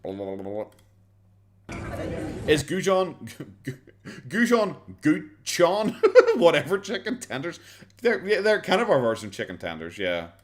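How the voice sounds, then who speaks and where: A man's voice: on the high side, wildly swooping pitch, a little slow, pauses often. An Irish man streaming a casual reaction chat, sarcastic, swearing often and laughing a lot.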